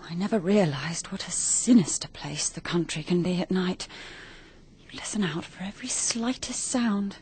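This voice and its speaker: An actress playing a brisk, practical married Englishwoman in a British radio mystery drama, with an English accent and a crisp, businesslike manner. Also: fairly low pitch, a little mellow. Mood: uneasy, hushed.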